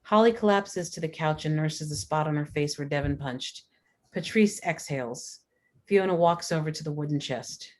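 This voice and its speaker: A woman reading scripted stage directions as a narrator, in a measured, descriptive manner. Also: low-pitched, a little mellow.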